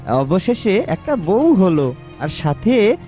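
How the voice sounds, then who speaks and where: A simple, mild young cartoon husband, voiced by a woman, with a mellow tone and a slow, unhurried delivery. He sounds naive and easygoing, over light music. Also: mid-range voice, very animated. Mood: curious, pleased. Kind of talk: cartoon character acting. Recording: music behind the voice.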